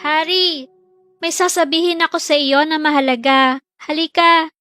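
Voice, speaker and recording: A woman's voice: sits high, fairly even intonation, normal speed, sharp tone, no room sound, faint music behind, voice pushed up. A woman voicing a bossy, forgetful big sister in a children's fairy tale, exclaiming and scolding. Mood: bright, eager. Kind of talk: children's storybook acting.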